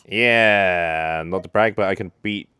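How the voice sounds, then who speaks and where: A man's voice: low voice, slow, bright, forceful tone, clean tone, no echo, studio quality. A man giving casual let's-play game commentary with hesitant, murmured reactions. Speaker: gamer, let's-play host. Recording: game music behind. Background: game music.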